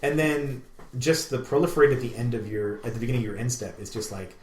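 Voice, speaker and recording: A man's voice: medium pitch, fast, a little hiss or hum. Enthusiastic, rambling man in an echoey room. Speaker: podcast co-host. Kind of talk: casual chat. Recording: roomy, echoey room sound.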